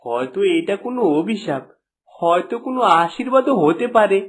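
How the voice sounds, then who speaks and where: A man playing an earnest, emphatic young husband and loyal son in an animated folk story. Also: sits high, fairly quick, husky, full character voice. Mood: earnest, defiant.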